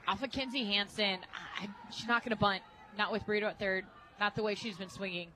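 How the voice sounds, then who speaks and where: Woman analyst chatting through softball tactics. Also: medium pitch, fairly even intonation, fairly quick, background noise. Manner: informal, explains tactics. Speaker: radio colour analyst. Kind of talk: conversational sports analysis. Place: outdoor ballpark radio booth.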